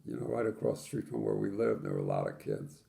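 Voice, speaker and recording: Gravelly older man recalling childhood. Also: on the low side, wildly swooping pitch, fast, dark tone. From Poland. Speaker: interviewee. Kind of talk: oral history interview.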